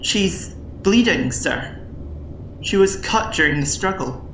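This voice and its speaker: A timid junior security officer in a sci-fi audio drama. She speaks slowly and deferentially in an echoey space. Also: fairly low pitch, animated, a bit bright, slight background noise, heavily acted. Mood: hesitant. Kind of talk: science-fiction audio drama acting. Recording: echoey room with faint ambience.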